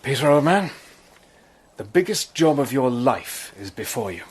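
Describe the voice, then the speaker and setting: A man playing a brisk, commanding British officer in a radio drama, clipped and decisive. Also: medium pitch, very animated, husky. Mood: determined.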